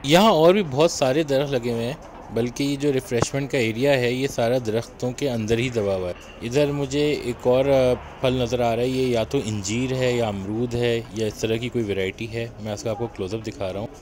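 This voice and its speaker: A man's voice: mid-range voice, normal speed, a little mellow. A man from Pakistan narrating a travel vlog, chatting to viewers as he rides a bus and walks around a roadside stop, filmed on a handheld phone. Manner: chatty guide talking to viewers while walking. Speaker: travel vlogger. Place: outdoors, on a bus and by a roadside cafe. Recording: noisy outdoor phone recording. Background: traffic and outdoor noise.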